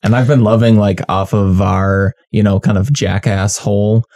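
A laid-back young man chatting on a podcast, with a low, warm, dark voice. He tosses in casual swear words as he talks. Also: steady tone, normal speed. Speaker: podcast co-host. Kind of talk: casual chat.

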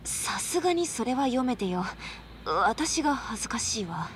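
High, animated young hostess woman. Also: normal speed, slight background noise. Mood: gently exasperated. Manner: expressive, casual feminine speech. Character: friendly, modest young nightclub hostess, easily embarrassed. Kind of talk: Japanese video game dub acting.

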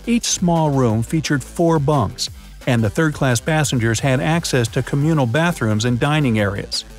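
Male voice-over narrator, scripted explainer, playful asides, mid pitch, soft music behind. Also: moderate pace. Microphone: studio voice-over. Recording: faint background music.

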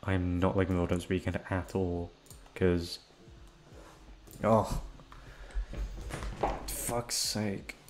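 A young man rambling in a casual chat, swearing often and jumping into high-pitched exclamations. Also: darkish tone, slight background noise. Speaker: audio roleplay creator.

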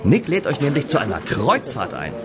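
An actor playing a friendly, upbeat music video director in a German children's audio drama, chummy and eager. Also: male voice, mid-range voice, huge pitch swings, moderate pace, a crowd in the background.

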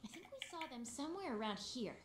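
A bright, high, bouncy voice of a young female hunting partner. She walks a newcomer through the rules with upbeat encouragement. The sound is a little roomy. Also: sing-song, fast talker, slight background noise. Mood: encouraging. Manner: upbeat, encouraging tutorial guide. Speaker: game character. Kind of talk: game dialogue.

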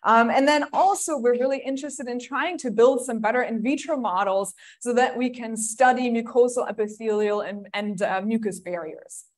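A woman's voice: a little high, normal speed, few pauses. A woman from Germany giving a friendly, chatty academic seminar talk with many ums. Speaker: guest lecturer.

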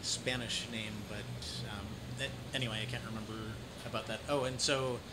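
Halting American man over noisy ambience. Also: lowish voice, fairly even intonation. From Idaho, United States. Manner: halting, rambling. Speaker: interviewer. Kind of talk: casual interview chat. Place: café or restaurant at night. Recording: clearly noisy room ambience.

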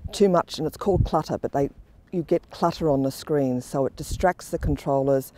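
A woman's voice: sits low, warm tone. A middle-aged woman explaining a technical subject in an interview, speaking outdoors. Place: outdoors in the open. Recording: outdoor interview with background noise.

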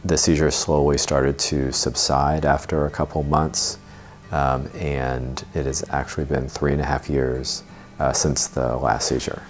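A man in his forties telling his family's story in an interview, seated on a living room sofa, speaking steadily with many pauses. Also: rumbling low. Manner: measured, steady telling with frequent uh fillers. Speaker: parent interviewee. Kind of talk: interview testimonial.

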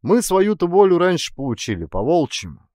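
A man voicing a weary, gloomy former bandit in an audiobook, frank and resigned, with calm, folksy peasant speech. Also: sits high, brisk, dry recording, studio quality, pitched above the performer's own voice. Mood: matter-of-fact.

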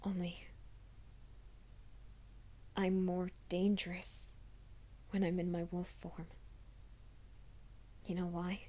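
A woman voicing a gentle, nervous young male werewolf in an ASMR roleplay, speaking softly and often in whispers. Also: fairly low pitch, level tone, takes it slowly, long pauses. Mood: soft reassuring. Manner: soft, hushed, often whispering.

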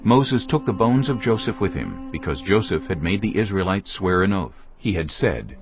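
Deep guy narrating the bible, slow and serious, loud music. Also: expressive. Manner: measured, grave storytelling. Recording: dull sound under loud music.

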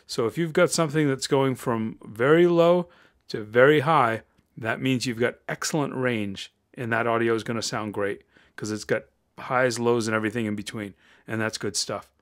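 A man with a deep voice, speaking close to the microphone, walking viewers through a software tutorial in an upbeat, encouraging way. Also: moderate pace. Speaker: voice-over tutorial host. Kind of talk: screen-recorded software tutorial.

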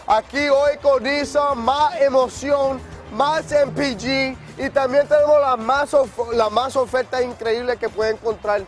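A Puerto Rican man in his thirties giving a joking, hyped sales pitch on an outdoor car lot, tossing in English words. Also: fairly even intonation, measured pace, soft background music. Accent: Puerto Rican Spanish. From Puerto Rico.

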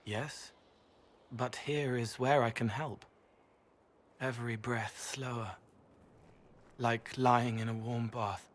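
A man voicing a gentle, haunted young spirit in a video game, speaking in halting, dreamy fragments with a breathy, husky voice. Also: medium pitch, steady tone, close to an everyday voice. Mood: sorrowful, soothing.

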